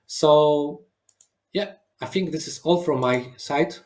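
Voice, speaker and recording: A man with a slightly raised voice, his first language Polish, talks through a live coding demo and answers questions on a video call. His English is halting, with many restarts and unusual phrasing. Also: fairly high pitch, a little mellow. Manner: halting non-native phrasing, frequent restarts. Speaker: guest speaker, DevOps engineer.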